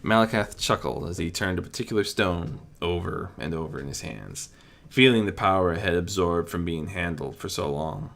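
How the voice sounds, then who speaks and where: A man's voice: low-pitched, lively intonation, normal speed, creaky, fairly bright tone. A man narrating fan fiction as a reader, in a dramatic but homemade storytelling style.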